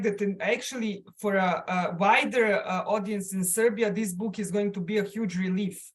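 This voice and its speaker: A woman responding on an online panel, quick and emphatic in her points. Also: mid-range voice.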